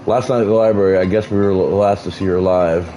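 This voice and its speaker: A man reading aloud the lines of a wary townsman in a mystery game, in a slow, flat way. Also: low-pitched, soft background music, lightly acted. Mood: guarded. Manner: read aloud flatly, slow.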